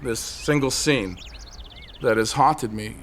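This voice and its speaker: An actor playing a brooding, desperate man on a ledge in an audio drama, telling his story in an intense, halting, obsessive way. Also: mid-range voice, very noisy, close to an everyday voice. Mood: haunted, reflective. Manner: intense, halting, obsessive monologue with repeated phrases.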